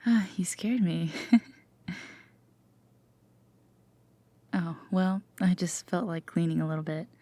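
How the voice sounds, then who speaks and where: A woman playing a gentle, teasing, affectionate girlfriend in an intimate sleep-aid roleplay, with a soft, breathy half-whisper and a tender manner. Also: on the low side, no echo. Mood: startled, tender. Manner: hesitant, tender, gently playful.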